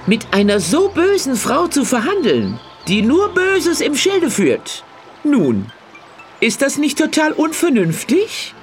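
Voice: high-pitched, wildly swooping pitch, slow, soft background music, voice pushed up, full character voice. Man playing a sly, theatrical young trickster. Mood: cheeky, scornful. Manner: teasing, theatrical delivery.

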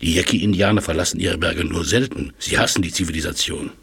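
A man playing a learned, absorbed professor in a German audio drama, musing and explaining with thoughtful pauses. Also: sits low, fairly even intonation, vocal fry, a bit bright, slightly rough, heavily acted. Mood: lecturing. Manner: musing, lecturing, hesitant pauses. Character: elderly learned male language professor, absorbed and astonished.

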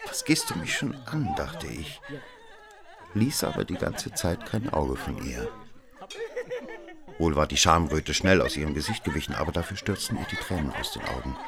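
An actor playing a worldly, cynical gentleman seducer who narrates his own affair in a radio drama, moving theatrically between dry telling and urgent speech. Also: low-pitched. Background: music.

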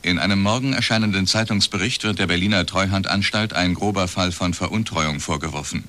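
A man's voice: deep voice, fairly quick, bright, forceful tone. An actor playing a male radio newsreader, delivering a bulletin in a formal, neutral, precise manner within a radio drama.

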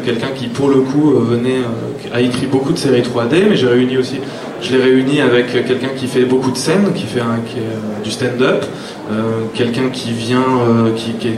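A man speaking informally at a round table, hesitant and repeating words as he searches for the next one. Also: medium pitch. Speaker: animation producer on a panel. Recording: echoey, distant, noisy live room recording.

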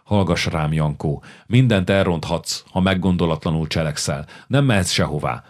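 A man voicing a calm, cunning royal chancellor who speaks in a measured, persuasive way. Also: deep voice, close to an everyday voice.

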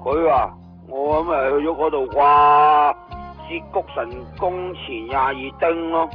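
A high-pitched male actor as a flustered Hong Kong buddy in a Cantonese radio drama, exasperated and full of exclamations. The old radio recording is slightly dull, with faint music behind. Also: a little slow, lightly acted. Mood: bewildered, reluctant. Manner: complaining, exclamatory.

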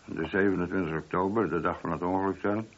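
A man's voice: low-pitched, fairly even intonation, fast, husky. A man playing a chatty police sergeant in a radio drama, matter-of-fact and slightly cheeky as he reports. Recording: dull, muffled old radio drama recording.

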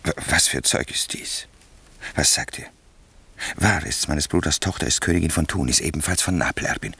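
An actor playing a dry, skeptical prince open to treason in a classical 1960s German radio drama, raspy and theatrical. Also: male voice, very deep voice, normal speed, pushed and bright, exaggerated voice. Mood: questioning, tempted.

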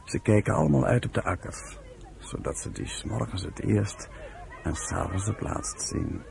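A genial professor in a radio play, idealistic and earnest. His voice is very deep and creaky, with lively intonation, over a noisy recording with crowd ambience. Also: moderate pace, exaggerated voice. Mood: proud, earnest. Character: genial professor leading a kibbutz children's village, earnest idealist. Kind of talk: Dutch radio play acting.

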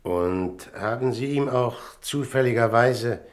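An actor playing a composed police investigator who questions tersely and considerately in in a radio crime drama. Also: male voice, medium pitch, measured pace, close to an everyday voice. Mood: probing, cool.

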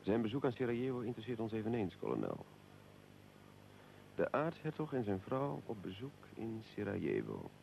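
An actor playing a suave, evasive Russian military attaché in a radio drama, unctuously polite and deliberate. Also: male voice, fairly low pitch, huge pitch swings, vocal fry, darkish tone, a little hiss or hum, full character voice. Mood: guarded.

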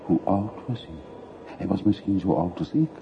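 A dull, faintly noisy old radio play recording carries a middle-aged man who once emigrated. His voice is on the low side. He is reticent and reflective, weighing his words in a measured way. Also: sing-song. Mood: subdued. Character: wealthy-born middle-aged emigrant returning home, reserved, reflective. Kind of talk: radio drama acting.

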